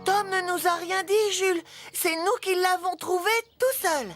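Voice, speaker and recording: A woman puts on a playful childlike cartoon vehicle. The voice is piercingly high, boisterous and self-assured, over light background music. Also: fairly even intonation, unhurried, a bit bright. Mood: cheeky, excited. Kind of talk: French children's cartoon dub.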